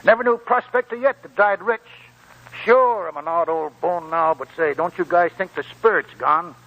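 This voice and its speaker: An actor playing a talkative, wry old gold prospector in a 1940s radio drama, raspy and folksy, rambling like a storyteller. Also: male voice, high-pitched, moderate pace. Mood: knowing, grave. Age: older. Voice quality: chuckling, raspy old man's voice. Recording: muffled 1940s radio broadcast with music.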